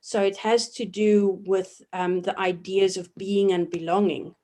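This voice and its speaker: A woman in her forties from South Africa, a professor giving measured, reflective answers in an interview, often pausing with ums and restarting her sentences. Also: medium pitch, steady tone, warm tone. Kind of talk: thoughtful academic interview answers.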